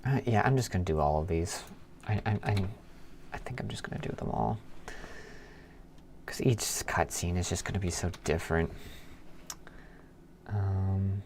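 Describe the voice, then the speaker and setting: A man live-streaming as a programmer, thinking aloud in a halting mutter as he works, half talking to himself. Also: sits low, vocal fry, a little mellow. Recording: keyboard clicks and background noise. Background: computer keyboard typing and clicking.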